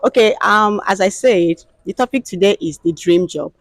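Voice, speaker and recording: A woman from Nigeria hosts a relaxed panel chat, searching for her words and filling the gaps with hesitant ums. Her voice sits in a middle register, and the recording is close and dry with no room sound. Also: mid-range voice, normal speed, no room sound. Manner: hesitant, with many fillers. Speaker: host. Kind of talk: casual panel discussion.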